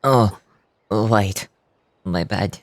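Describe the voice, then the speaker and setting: A woman's voice: very deep voice, very slow, a little hiss or hum, pitched below the performer's own voice, heavily acted. A woman voicing an earnest, apologetic young man in a video game, stumbling a little as she reads aloud. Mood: earnest, sheepish. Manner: stumbles while reading aloud.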